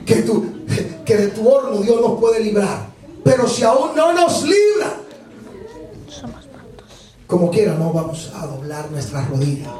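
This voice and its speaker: A man preaching a lively sermon with a Dominican accent, animated and joking with the congregation. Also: high voice, unhurried, pauses often. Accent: Dominican Spanish. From Dominican Republic. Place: church hall. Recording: echoey hall from far off, congregation.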